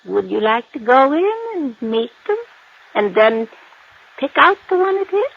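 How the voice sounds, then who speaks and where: A woman's voice: on the high side, sing-song, measured pace, vocal fry, background noise, heavily acted. An actress playing a gushing, coy American widow in her sixties in a 1950s-style radio drama. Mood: confiding, intrigued. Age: older. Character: coquettish, excitable wealthy widow in her sixties. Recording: dull old radio recording.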